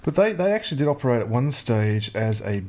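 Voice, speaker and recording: A man's voice: mid-range voice, sing-song, fast talker, darkish tone, slight background noise. A man co-hosting a radio show, chatting casually and dropping dry, wry quips. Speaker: radio co-host. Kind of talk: casual banter.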